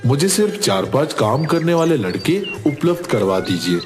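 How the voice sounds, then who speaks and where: A man voicing a calm, reassuring young village cook in a cartoon, polite and assured. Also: medium pitch, normal speed, lightly acted. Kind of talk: Hindi cartoon story dialogue. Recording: background music under voice.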